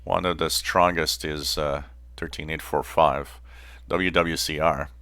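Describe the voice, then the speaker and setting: A man hosting a hobby channel, explaining informally in a halting way with pauses mid-phrase. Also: extremely low pitch, fairly even intonation, sharp tone, no echo. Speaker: host of a shortwave radio channel.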